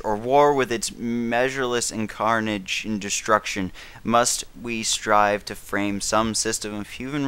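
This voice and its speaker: A man performs as a grave British elder statesman delivering a 1930s wartime radio address. The voice is forceful and clean, recorded close and dry. He declaims with defiance, leaning hard on key words in stately oratory. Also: mid-range voice, clear, resonant tone, dry recording, natural voice. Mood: solemn, urgent. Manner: declamatory, emphatic, dramatic stresses, occasional stumbles.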